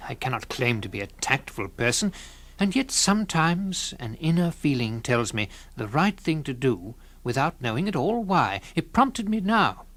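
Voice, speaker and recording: An awkward, well-meaning drinking companion telling his tale in a radio drama. The voice is bright and forward, with lively swings of pitch and a measured, confiding delivery. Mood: confiding, earnest. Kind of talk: dramatised short-story narration.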